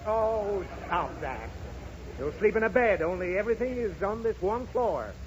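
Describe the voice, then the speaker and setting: An exasperated, grumbling American dad from a 1930s radio comedy. His high voice leaps around with irritation as he huffily explains. The old recording is dull, with a faint noise. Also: animated, normal speed. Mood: grumpy, impatient. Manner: irritable, sarcastic, huffy explanations. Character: exasperated, grumbling father of a pestering little girl.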